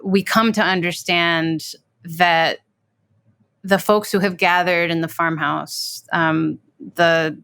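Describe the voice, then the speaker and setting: A woman with a lowish voice and plenty of vocal fry explains in a measured way. Her phrasing is deliberate and broken, and the sound is very dry and close. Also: steady tone, a bit bright, no room sound.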